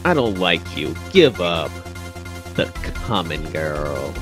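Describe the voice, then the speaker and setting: A man's voice: low voice, sing-song, takes it slowly, music in the background, exaggerated voice. A man voicing a haughty, self-satisfied teenage schoolboy in an anime fan comic dub. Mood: smug. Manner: smug, condescending.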